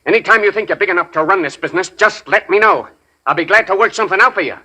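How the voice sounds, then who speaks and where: A man's voice: sits high, racing, pushed and bright, exaggerated voice. An actor playing a volatile, sneering crime boss with menacing tough-guy slang in a 1940s radio drama. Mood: sneering, commanding. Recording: 1945 radio broadcast.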